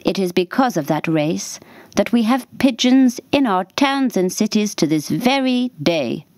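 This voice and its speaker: A woman narrating a scripted children's story for radio in a measured, deliberate storybook manner. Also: medium pitch, lively intonation, darkish tone, no room sound.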